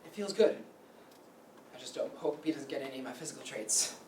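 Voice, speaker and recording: An actor playing a chatty, good-humored doctor in a staged play reading. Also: male voice, medium pitch, expressive, a little fast, a little mellow, natural voice. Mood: upbeat. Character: chatty, good-humored male doctor, old childhood friend. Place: meeting room staged reading, distant camera. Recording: echoey room from far off, noisy.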